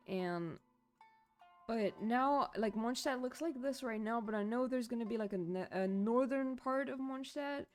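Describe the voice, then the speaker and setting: A woman's voice: fairly high pitch, darkish tone. Young woman streamer, rambling and swearing. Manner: rambling, swears freely, many fillers. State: voice a little shaky. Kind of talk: casual gameplay commentary.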